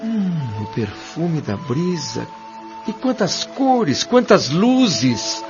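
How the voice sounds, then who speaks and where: Man acting a slow, wondering Brazilian newcomer. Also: on the high side, very animated, heavily acted. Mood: awestruck. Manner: hesitant, wondering. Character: timid, awestruck male newcomer to a wondrous world. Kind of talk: audio drama dialogue. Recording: dull lo-fi audio, music clearly behind.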